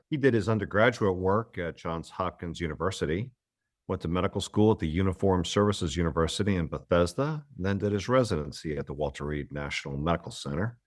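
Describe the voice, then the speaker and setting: A man hosts a medical podcast. He reads a formal introduction in a measured way, then moves into conversation. The sound is close and dry. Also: sits low, dry recording. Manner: formal, reads a long guest biography. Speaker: podcast host. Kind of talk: scripted introduction and interview.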